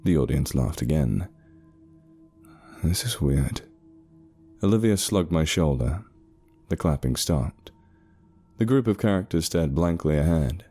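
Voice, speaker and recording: Very deep, dark-voiced man narrating horror. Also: expressive. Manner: measured, suspenseful, short pauses. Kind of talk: scripted horror narration, first person. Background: eerie background music.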